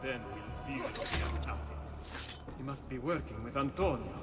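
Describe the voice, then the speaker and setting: An actor playing an irritable, anxious, self-important villainous merchant in a game, with an Italian accent. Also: male voice, medium pitch, moderate pace, natural voice. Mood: worried, agitated. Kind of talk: video game cutscene acting. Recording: echoey long reverb, loud music behind.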